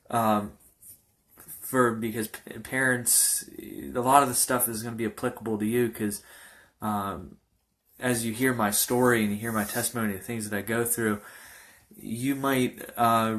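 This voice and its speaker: A young man in his early twenties speaks as a pastor sharing his testimony. His voice sits slightly low. He talks informally and haltingly, wandering loosely from phrase to phrase. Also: fairly bright tone. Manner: halting, loosely rambling delivery. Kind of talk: informal testimony talk to camera.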